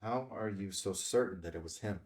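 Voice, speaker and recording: A man's voice: low-pitched, fairly quick, a little mellow, lightly acted. A man playing a businesslike investigator who asks direct, probing questions in a table read.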